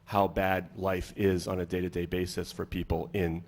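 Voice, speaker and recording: A man from Boston moderates a student panel in a low, gravelly voice. He talks off the cuff, circling and rephrasing as he goes. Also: little pitch movement, normal speed, darkish tone. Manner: rambling, searching for words. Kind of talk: unscripted panel introduction and questions.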